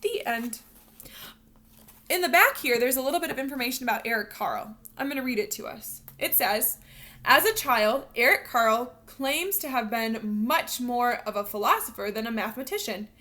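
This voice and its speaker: A woman teacher reading a picture book aloud to young children, expressive and instructional, pausing to put questions to them. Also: on the high side. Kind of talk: animated read-aloud storytelling with questions to young learners.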